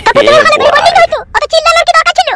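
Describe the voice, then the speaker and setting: A performer voicing a sharp-tongued, domineering village mother-in-law in a comic cartoon, scolding shrilly with a rural West Bengal accent. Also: extremely high pitch, steady tone, very fast, very noisy. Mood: irritated.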